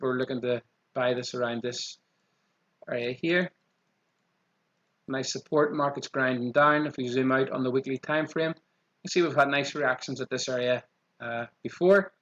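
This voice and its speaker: A man with a strong Irish accent walking through trading charts quickly, often saying "okay" as he goes. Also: medium pitch, a bit bright, lo-fi sound. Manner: quick, repeats 'okay' as a filler. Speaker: trading channel host. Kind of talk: screen-share chart walkthrough.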